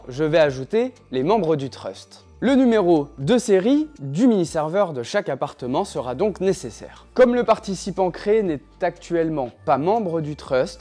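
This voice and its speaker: A man presenting a scripted explainer in a clear, didactic, step-by-step way. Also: on the high side, warm tone. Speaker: tutorial presenter. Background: background music.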